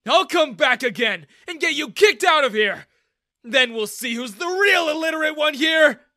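Man playing indignant young would-be businessman, high-pitched. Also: animated, moderate pace, pushed and bright, dry recording, studio quality. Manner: earnest, defiant. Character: determined, indignant eighteen-year-old aspiring businessman.

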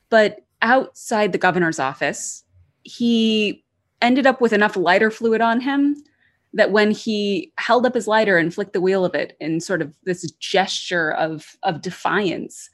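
A woman guest on a podcast interview, telling the story in a lively, wry way. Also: medium pitch. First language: English.

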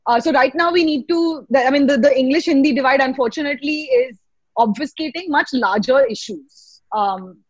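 A woman, a comedian speaking in an articulate, halting, wordy way in a casual discussion, on a slightly muffled recording. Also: sits high, lively intonation, dry recording. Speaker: stand-up comedian, panel guest.

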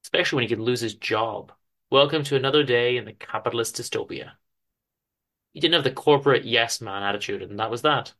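A man giving a theatrical, emphatic dramatic reading of a story, with deliberate pauses. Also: medium pitch, fairly bright tone. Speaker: host reading his show's story.

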